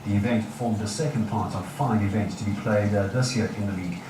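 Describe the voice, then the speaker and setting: A man reporting on a sports event in a measured news tone. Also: on the low side. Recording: very echoey, distant, noisy.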